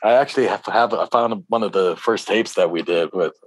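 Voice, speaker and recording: A man's voice: lowish voice, fairly quick, no echo. A man chatting casually as an interview guest, reminiscing in a rambling, reflective way.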